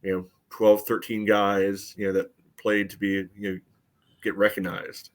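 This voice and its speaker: A man's voice: fairly low pitch, level tone, a little slow. A middle-aged American man chatting about soccer as a podcast panelist, in a rambling, conversational way. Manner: rambling, leans on "you know". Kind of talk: casual soccer analysis chat.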